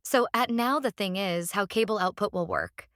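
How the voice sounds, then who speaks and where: A woman's voice: mid-range voice, moderate pace, a bit bright, dry recording. Woman walking through a tutorial, dry sound. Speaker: tutorial presenter. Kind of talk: casual software tutorial narration.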